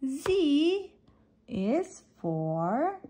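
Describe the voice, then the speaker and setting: A woman reading an alphabet picture book aloud for children, deliberately setting apart each letter and phrase. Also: sits high, big pitch swings, warm tone, a little hiss or hum.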